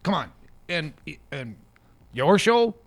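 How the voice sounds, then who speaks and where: A man's voice: on the high side, very animated, takes it slowly, slight background noise. A man playing a shifty older celebrity lawyer in an improvised radio comedy, stammering and repeating words as he evasively pushes a scheme. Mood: dismissive, scheming. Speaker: studio guest.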